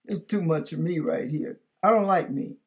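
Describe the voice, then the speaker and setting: A woman's voice: deep voice, moderate pace. An older woman giving an informal devotional talk to camera, conversational and emphatic, often asking rhetorical questions. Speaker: online ministry host. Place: furnished room at home.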